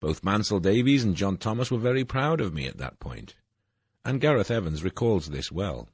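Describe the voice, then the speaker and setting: Deep, husky older man reading. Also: very animated, no room sound, studio quality. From Wales. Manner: measured, formal reading with falling phrase ends. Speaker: essay narrator. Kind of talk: reading an autobiographical essay.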